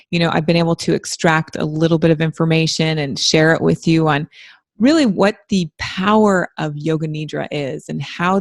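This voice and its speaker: Low gravelly-voiced woman podcast host. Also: a little mellow, dry recording, clean studio sound. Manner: chatty, rambling, self-deprecating. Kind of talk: casual podcast interview.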